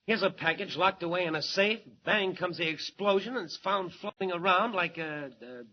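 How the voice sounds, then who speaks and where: An actor with an American accent playing a plain-spoken, baffled ship captain in a 1940s radio drama, emphatic and folksy. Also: male voice, high-pitched, normal speed, lightly acted. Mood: puzzled. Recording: muffled, noisy 1940s radio recording.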